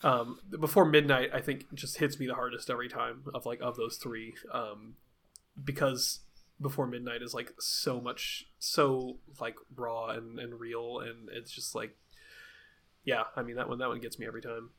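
A man's voice: medium pitch, level tone, moderate pace, a bit bright. A man co-hosting a podcast, talking casually and hesitantly with many restarts, a little sniffly from a cold. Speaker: podcast co-host.

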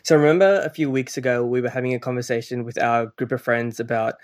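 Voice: medium pitch, fairly even intonation, quick pace. A co-host on a casual podcast, talking in an enthusiastic, conversational way with fillers like "you know".

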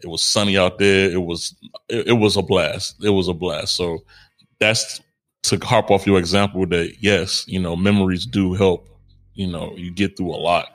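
Loud young man, rambling chat. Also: low voice, fairly even intonation, normal speed. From United States. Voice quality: strong, loud voice. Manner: loose, rambling, full of fillers and restarts. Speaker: podcast co-host. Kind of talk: casual conversation.

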